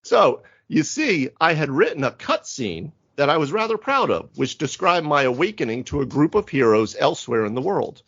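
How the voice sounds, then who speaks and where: A florid, mysterious lurker from remote dark depths, put on by a man in a podcast letter reading. The delivery is grandiose and dramatic, swooping widely in pitch, on slightly muffled audio. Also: very animated, moderate pace, hardly any pauses, exaggerated voice. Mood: formal, earnest.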